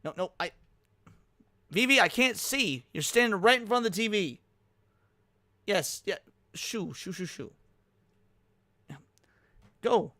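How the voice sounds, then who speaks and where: A man's voice: high-pitched, expressive, slow, long pauses, bright, forceful tone, dry recording, clean studio sound. A man reacting casually to a video on a livestream, talking in slang and swearing.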